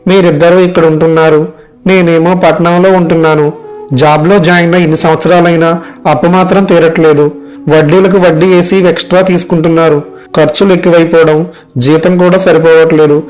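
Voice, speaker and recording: A man playing a weary, worried young software worker and dutiful son in a story dialogue, plaintive and complaining. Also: on the high side, flat delivery, fairly quick, soft background music, natural voice, poor audio. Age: young adult. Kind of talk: animated moral story dialogue.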